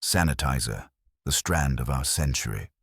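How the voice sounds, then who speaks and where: A man's voice: very deep voice, lively intonation, normal speed, a bit bright, husky, no room sound, clean studio sound, exaggerated voice. A man playing an imperious, pedantic genius detective in an audiobook, speaking in pompous, clipped, formal phrases. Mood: imperious, precise.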